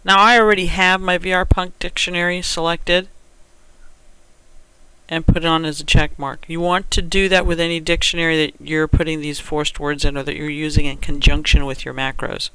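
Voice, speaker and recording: A man giving an unscripted step-by-step software tutorial, thinking aloud in a halting way as he works. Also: on the high side, level tone, clean tone, no echo, poor audio.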